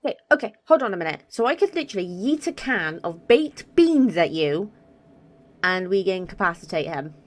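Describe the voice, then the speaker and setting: A woman's voice: lowish voice, sing-song, brisk. A woman giving playful, joking let's play commentary on a game, sometimes reading dialogue aloud. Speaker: gaming streamer.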